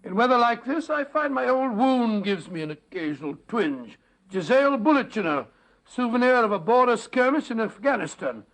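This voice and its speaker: A genial old British doctor with a notably high voice. He reminisces in a chatty, avuncular, old-fashioned way, as in a 1940s radio drama on a vintage recording. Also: animated, normal speed, a bit bright, full character voice. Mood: genial, reminiscing. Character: genial elderly English doctor, reminiscing storyteller.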